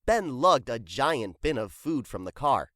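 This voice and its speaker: A woman reading a children's book aloud in an expressive storytelling style. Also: extremely low pitch, unhurried, dry recording, clean studio sound.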